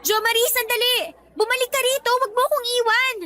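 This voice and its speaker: A woman's voice: very high voice, steady tone, brisk, heavy background noise. A woman playing an exasperated, hurt young girlfriend in a radio love drama, sarcastic and reproachful. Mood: desperate.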